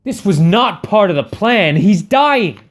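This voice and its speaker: A man's voice: sits high, big pitch swings, measured pace. A man playing an intense, angry heist leader in an amateur audio drama, commanding and confrontational. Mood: angry, demanding.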